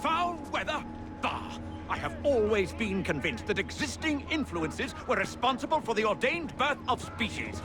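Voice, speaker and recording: An actor playing a blustering, pompous old Victorian scientist with an English accent and emphatic, spluttering delivery in a video game. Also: male voice, very high-pitched, sing-song, moderate pace, music in the background. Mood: pompous, offended. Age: older. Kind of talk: dramatic video game acting.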